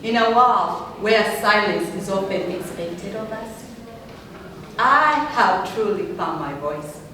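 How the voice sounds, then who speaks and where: A woman's voice: mid-range voice, lively intonation, takes it slowly, bright, forceful tone, a little hiss or hum. A young woman from Uganda, telling her personal story as a speaker with earnest, emphatic delivery. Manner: earnest, emphatic, rising passionately on key words. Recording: echoey hall from far off.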